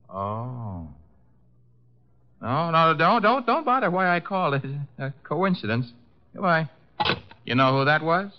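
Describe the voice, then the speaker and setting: An actor playing a cheeky, wisecracking detective's assistant in a 1950s radio drama, casual and sardonic in his delivery. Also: on the high side. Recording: dull lo-fi old radio recording.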